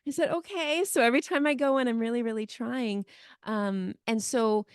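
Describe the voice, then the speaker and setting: A woman's voice: fairly high pitch, moderate pace, clean tone, no room sound, clean studio sound. An American woman co-hosting a casual podcast, telling family stories in a reflective way with easy fillers. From United States. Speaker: podcast co-host. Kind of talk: casual conversation.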